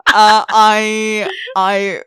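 A woman's voice: medium pitch, flat delivery, drawn-out words, sharp tone, clear, resonant tone, no room sound, studio quality. A woman hosting a film podcast, talking casually in a considered, reflective way.

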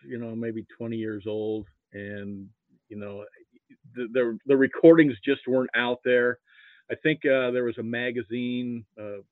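A man in his fifties with an American accent, a podcast guest talking casually and haltingly, with frequent pauses. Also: mid-range voice, dry recording. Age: 50s. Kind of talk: casual recollection. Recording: narrow, phone-like line.

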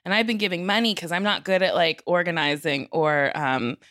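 A woman's voice: on the low side, fairly quick, a bit bright, no room sound, clean studio sound. A woman co-hosting a casual podcast, chatty, often acting out little quoted asides. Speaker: podcast co-host. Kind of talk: casual chat.

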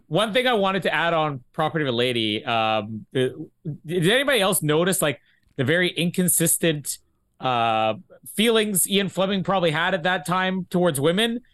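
A man's voice: a little high, animated, moderate pace, fairly bright tone. A man hosting a casual podcast book discussion, thinking aloud in a rambling way. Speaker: podcast host.

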